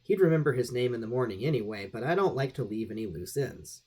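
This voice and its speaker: A man's voice: mid-range voice, expressive, fast talker, a little mellow, husky. A man voicing a confident, inquisitive professional hypnotist in an audiobook, with a probing, matter-of-fact manner. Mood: assured, directing.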